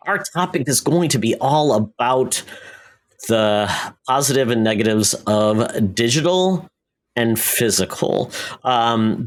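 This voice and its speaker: A man co-hosting a podcast in a casual, opinionated, conversational way. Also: medium pitch. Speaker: podcast co-host. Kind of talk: casual discussion of comics and media.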